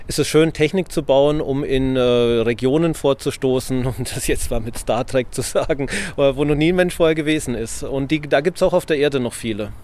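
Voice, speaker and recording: A male engineer speaking in a measured, slightly hesitant way with fillers. He is answering interview questions about testing his technology. His voice sits at an average pitch. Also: darkish tone, slight background noise. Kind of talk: conversational explanation. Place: event venue.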